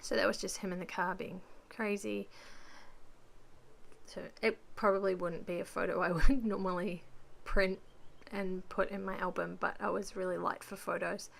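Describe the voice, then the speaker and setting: A woman with a croaky voice chatting casually through a voice-over about her crafting, rambling with lots of ums. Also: mid-range voice, flat delivery, moderate pace. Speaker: scrapbooking vlogger.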